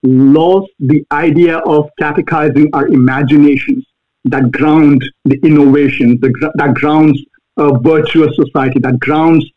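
A man with an Indian accent, a guest answering interview questions earnestly, pausing often on fillers. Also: fairly high pitch, steady tone. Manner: earnest, halting with many fillers. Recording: tinny phone-like line.

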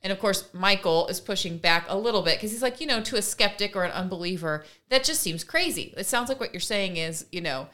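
A woman's voice: medium pitch, animated, brisk, few pauses, sharp tone. A woman co-hosting a casual discussion, talking in an earnest, emphatic way as she explains her points. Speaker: podcast co-host.

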